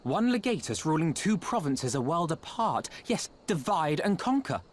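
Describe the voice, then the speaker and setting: An actor playing a young scholar-strategist who speaks formally and deliberately. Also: male voice, a little high, big pitch swings. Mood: analytical. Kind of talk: game cutscene voice acting.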